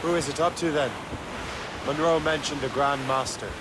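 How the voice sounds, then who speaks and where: An actor with an Irish accent playing Shay, an earnest young ex-assassin who captains a ship in a video game. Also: male voice, on the high side, steady tone, moderate pace, heavy background noise, natural voice. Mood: curious, wry.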